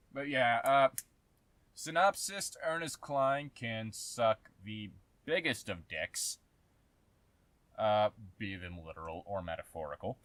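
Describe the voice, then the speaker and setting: A man giving a casual, sarcastic commentary rant, exasperated and swearing heavily, with halting, emphatic repeats. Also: mid-range voice, a bit bright, no room sound. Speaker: host of a commentary channel.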